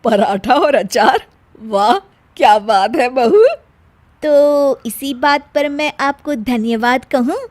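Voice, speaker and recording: A woman's voice: sits high, slow pace, a little mellow, dry recording. A woman voicing an older mother-in-law in a story, easily exasperated, exclaiming and nagging with emphasis. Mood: amused.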